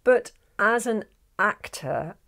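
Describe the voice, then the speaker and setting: A woman's voice: mid-range voice, slow pace, creaky. A middle-aged woman with a lapel mic, chatting expressively as a guest in a small studio. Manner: expressive, animated, gesturing while talking. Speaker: guest, actor and audio director. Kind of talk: casual studio chat. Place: small studio room with sofa, indoors.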